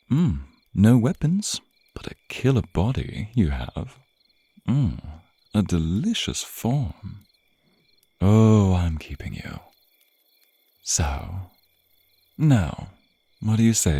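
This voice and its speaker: A man plays a suave male vampire in an intimate ASMR roleplay. His low voice is dark and slightly husky, drawling slowly as he teases and purrs possessively at his captive. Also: dry recording, exaggerated voice. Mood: playful, possessive. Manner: drawling, purring, theatrical, murmured asides.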